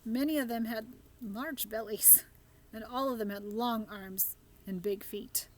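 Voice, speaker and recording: A woman's voice: a little high, unhurried. A woman narrating a children's book aloud as a storybook reader.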